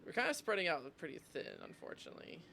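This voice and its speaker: Higher-voiced man streaming a game, gunfire behind. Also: wildly swooping pitch, fast, gravelly, bright, forceful tone, a little hiss or hum. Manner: thinking aloud in short remarks. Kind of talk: casual live gameplay commentary.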